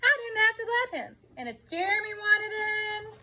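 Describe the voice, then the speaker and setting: A woman reading a children's picture book aloud in an expressive storytelling way, drawing out words for emphasis. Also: extremely high pitch, normal speed, low-quality recording. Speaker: storybook reader.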